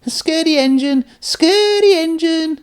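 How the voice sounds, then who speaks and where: A rude little storybook goods truck, very high and squeaky. It jeers in a mischievous, taunting sing-song. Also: male voice, moderate pace. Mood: mocking, gleeful.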